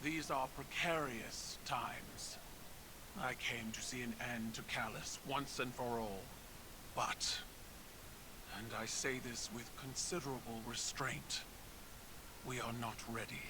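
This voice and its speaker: An actor playing a grave, weary alien empire commander, speaking formally and deliberately in dramatic game narration. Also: male voice, mid-range voice, fairly even intonation, noisy background, natural voice. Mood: sober, cautioning.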